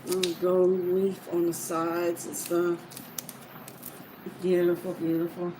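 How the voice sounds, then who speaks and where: Slow, rambling woman over clear background noise. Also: fairly low pitch, completely flat delivery. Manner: informal, meandering, trailing off mid-thought. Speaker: reader of books for listeners. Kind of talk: casual rambling chat to viewers.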